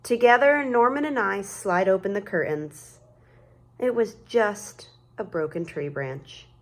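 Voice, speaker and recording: A woman narrates a picture book for children at a very unhurried pace. Her mid-pitched voice is expressive, and she draws out key words for dramatic emphasis. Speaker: storybook reader.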